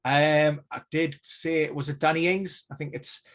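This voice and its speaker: A man giving injury news analysis on a casual live stream, measured and hedging, with many fillers and restarts. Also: fairly high pitch, flat delivery, fairly bright tone.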